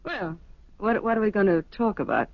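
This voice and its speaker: An actress playing a breezy, worldly young mistress in a radio drama, speaking in casual, matter-of-fact slang. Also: female voice, mid-range voice, big pitch swings, normal speed, gravelly, no echo. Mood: breezy, amused. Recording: dull vintage radio recording.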